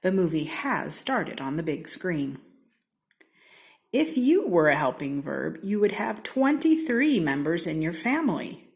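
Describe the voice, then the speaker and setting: Lively American woman reading a children's storybook. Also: fairly low pitch. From Seattle, United States. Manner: theatrical, deliberate, with playful emphasis and whispered asides. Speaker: storybook reader. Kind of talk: expressive children's read-aloud.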